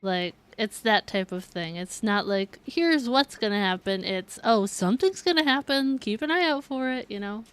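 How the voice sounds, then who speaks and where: A woman's voice: medium pitch, lively intonation, moderate pace, few pauses, a bit bright, clear, resonant tone. A woman streamer giving casual, chatty, joking commentary as she plays a game.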